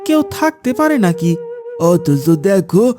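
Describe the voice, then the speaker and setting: A man's voice: high voice, big pitch swings, unhurried, music in the background. A man voicing a worried, plaintive villager in a cartoon folk tale. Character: worried, aggrieved village men of a drought-struck kingdom.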